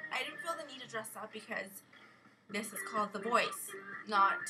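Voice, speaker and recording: A young American woman telling a rambling, casual vlog story in an expressive way, acting out exchanges as she goes. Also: a little high, poor audio. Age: young adult. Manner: animated, expressive, acts out exchanges. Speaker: vlogger. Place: bedroom at home. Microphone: built-in camera or webcam mic at arm's length.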